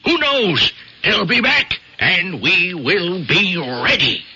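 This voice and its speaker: Pompous older boss, husky and high-pitched. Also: male voice, sing-song, takes it slowly, pushed and bright, heavily acted. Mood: zealous, commanding. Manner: bombastic, grandiose, commanding. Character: pompous, zealous older male newspaper boss. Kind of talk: old-time radio drama acting. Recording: muffled vintage radio broadcast.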